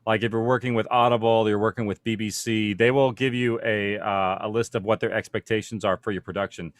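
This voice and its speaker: A man's voice: on the low side, steady tone, a little fast. A man speaking conversationally as a panelist, explaining production matters to others. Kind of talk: conference panel discussion.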